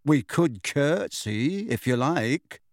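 A man's voice: mid-range voice, expressive, slow, no room sound, clean studio sound. A man voicing a mischievous teenage prankster brother in an audiobook, sarcastic and full of mock anxiety. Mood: mock anxious.

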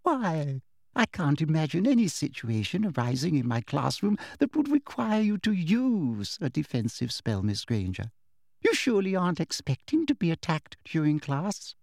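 A man's voice: fairly high pitch, wildly swooping pitch, moderate pace, darkish tone, dry recording, studio quality, voice pushed up, heavily acted. Man doing a sickly-sweet condescending schoolmistress. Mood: falsely sweet. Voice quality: sweet, breathy, prissy and patronizing. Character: sugary, condescending female teacher, falsely sweet.

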